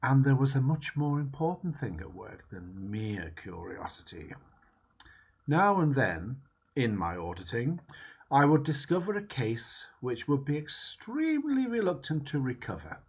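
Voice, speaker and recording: A man reads a book foreword aloud with a husky, breathy voice. He takes his time and leans hard on each phrase, his pitch climbing and dropping dramatically. The recording sounds dull and lo-fi. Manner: slow, emphatic dramatic reading with wide swings in pitch. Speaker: reader.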